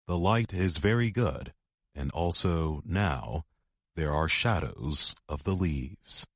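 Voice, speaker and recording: A man reading a quoted literary passage aloud in a measured style. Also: extremely low pitch, animated, breathy edge, dry recording, studio quality.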